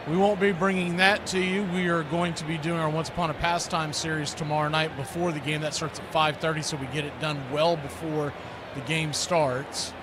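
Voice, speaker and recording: A man's voice: a little high, noisy background. An American man hosting a live football watch party, chatting casually and in a folksy way as he previews the game.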